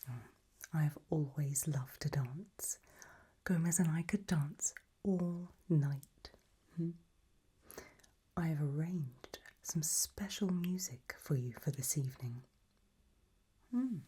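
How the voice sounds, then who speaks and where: A woman's voice: sits low, husky, heavily acted. A woman playing an elegant, macabre gothic matriarch in an ASMR roleplay, whispering breathily in a languid, gracious manner. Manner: languid, gracious, soft-spoken.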